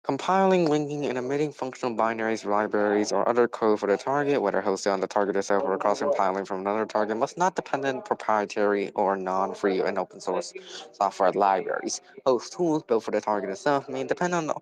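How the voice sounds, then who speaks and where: A man's voice: on the low side, animated, fairly quick, crowd noise, rough recording. A man reading a technical policy document aloud, stumbling over words and repeating phrases as he goes. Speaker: reader.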